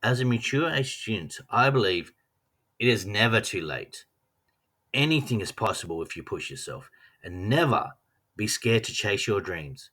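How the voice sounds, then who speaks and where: An Australian man giving a scripted personal narration as a trainee teacher, reading in an earnest, measured way. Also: fairly low pitch, sing-song, a bit bright. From Western Australia.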